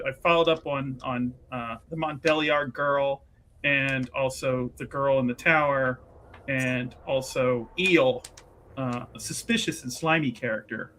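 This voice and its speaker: A man improvising casual, halting and wordy narration as a player in a tabletop roleplaying game. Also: medium pitch, bright, forceful tone, slight background noise, poor audio.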